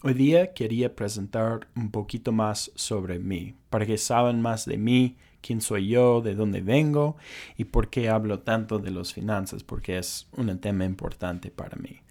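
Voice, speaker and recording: A man's voice: lowish voice, unhurried, darkish tone. American man speaking careful learner's Spanish. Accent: American English. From United States. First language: English. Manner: earnest, careful non-native phrasing with small slips. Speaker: podcast host. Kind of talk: casual personal podcast intro.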